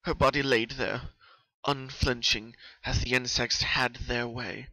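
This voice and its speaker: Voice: medium pitch, steady tone, unhurried, pushed and bright, no echo, low-quality recording. A narrator giving an intense, theatrical dramatic reading of a horror story.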